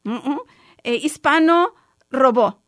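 A woman lawyer, a native Spanish speaker, explaining a legal point in a radio discussion, measured with frequent fillers and restarts. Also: sits high, expressive, fairly bright tone, no echo, clean studio sound. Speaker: lawyer guest.

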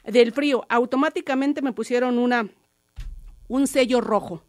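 A woman's voice: a little high, moderate pace, no room sound, studio quality. A woman radio host in conversational storytelling, addressing listeners directly. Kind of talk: radio discussion with personal story.

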